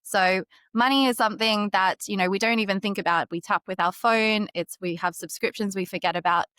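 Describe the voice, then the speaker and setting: A woman's voice: mid-range voice, fairly even intonation, a little fast, fairly bright tone, clean tone, dry recording. A woman with an Australian accent, answering interview questions about her career in an articulate, upbeat way. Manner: articulate, upbeat, laughs lightly while telling stories. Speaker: fintech executive guest.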